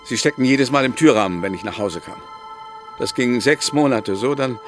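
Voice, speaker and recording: A man playing an older writer accused of murder in a German radio play, defensive and halting. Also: mid-range voice, lively intonation, faint music behind, lightly acted. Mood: halting, confessing. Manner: halting, pleading.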